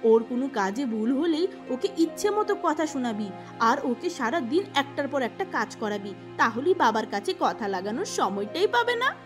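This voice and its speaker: Cartoon eldest sister: young female, high voice, haughty, greedy, scornful, acted by a woman. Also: big pitch swings, normal speed, over music. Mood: bossy. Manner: scornful, bossy.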